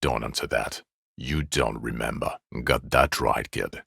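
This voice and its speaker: Fed up sarcastic cop from a video game, super low voice, bright hard edge. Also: male voice, measured pace, vocal fry, slightly rough, no room sound, clean studio sound, full character voice. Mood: angry, bitter. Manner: scornful, biting sarcasm. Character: bitter, exasperated, sarcastic male police detective.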